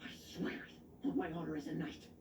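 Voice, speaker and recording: An actor playing a guilt-ridden young knight medic in a video game, speaking haltingly and breathily in pleading tones. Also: male voice, a little high, monotone, reverberant, far from the mic, noisy background, close to an everyday voice. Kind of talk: dramatic video game voice acting.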